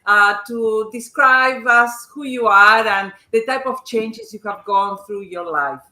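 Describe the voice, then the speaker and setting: A woman hosts an interview over a video call, asking long, courteous questions. Her voice is bright and forward, and the room adds a clear echo. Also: fairly high pitch, takes it slowly. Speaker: interview host.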